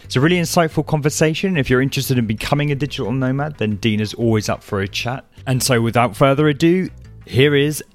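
A man's voice: mid-range voice, quick pace, faint music behind. A man hosting a tech podcast, giving an upbeat intro and asking casual follow-up questions. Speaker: podcast host. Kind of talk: podcast interview.

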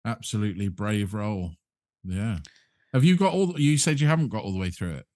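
Low-voiced British man, closely recorded. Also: animated, a little fast, darkish tone, no echo, clean studio sound. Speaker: podcast co-host. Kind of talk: casual film chat.

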